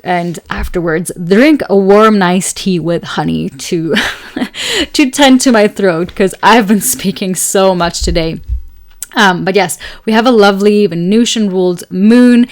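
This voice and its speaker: Chatty young woman, slightly raspy voice. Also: mid-range voice, a little slow. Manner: chatty, rambling, many fillers and laughs. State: tired voice from long talking. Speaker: astrology podcast host. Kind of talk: casual solo talk and storytelling.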